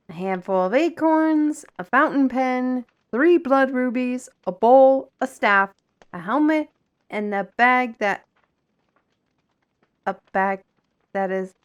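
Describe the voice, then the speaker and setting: A woman's voice: sits high, animated, takes it slowly, pauses often. A woman playing a wry, businesslike colleague in a fantasy audio drama, speaking in a dry, explanatory manner. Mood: exasperated.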